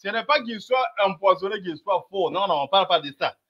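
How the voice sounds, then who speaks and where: A man with a high voice that swings widely as he mocks a replayed debate. He talks emphatically, drawing out his words and pushing his listeners to keep following. His delivery is sarcastic and full of teasing asides. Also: lively intonation, quick pace, breathy edge, lo-fi sound. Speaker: host commenting on a replayed debate. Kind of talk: mocking commentary over replayed clips.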